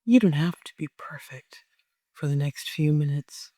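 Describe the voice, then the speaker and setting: A person leading a guided meditation in a gentle, soothing way, with long unhurried pauses. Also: medium pitch, lively intonation, dry recording, studio quality.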